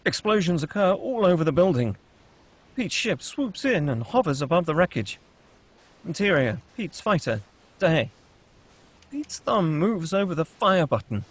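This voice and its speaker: A man's voice: a little high, big pitch swings, unhurried. A man narrating screenplay stage directions in a scripted table-read style.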